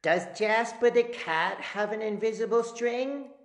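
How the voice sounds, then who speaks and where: A man's voice: sits high, unhurried, echoey room, voice pushed up. A man voicing anxious, curious young children in a storybook read-aloud.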